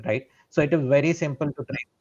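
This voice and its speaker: A man's voice: a little high, quick pace, darkish tone, breathy edge, dull audio. A man, a trader explaining his strategy step by step and often checking in with "right?". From India. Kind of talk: live trading session explanation.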